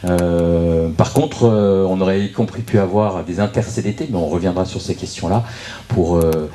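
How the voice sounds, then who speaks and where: A man giving an unscripted explanatory presentation at a public meeting, speaking haltingly and often repeating words as he searches for phrasing. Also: low voice, dark tone. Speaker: local political speaker introducing a debate.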